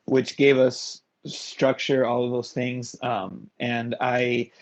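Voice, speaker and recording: A man's voice: medium pitch, level tone, takes it slowly. A man from Miami chatting casually as a musician guest, with a slangy, emphatic way of talking. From Miami, Florida, United States. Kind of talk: casual chat. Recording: dull, lo-fi voice pickup.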